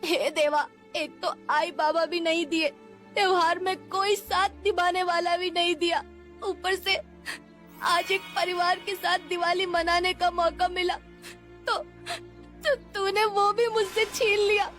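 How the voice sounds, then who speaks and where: A woman's voice: very high voice, fairly even intonation, a little slow, fairly bright tone, soft background music, pitched above the performer's own voice. A woman voicing a poor young orphan boy in a cartoon story, pleading in Mumbai street slang. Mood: hurt, reproachful.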